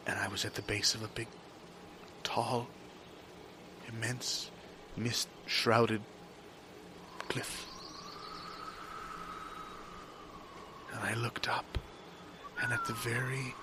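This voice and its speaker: Guy doing an anxious dreamer telling a weird dream, dramatic n halting, mid voice, noisy ambience behind. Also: medium pitch, lightly acted. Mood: hushed, awed. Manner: dramatic, halting, repeats himself. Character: anxious dreamer recounting a surreal dream, swinging from hushed to panicked. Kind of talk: improvised audio theatre monologue.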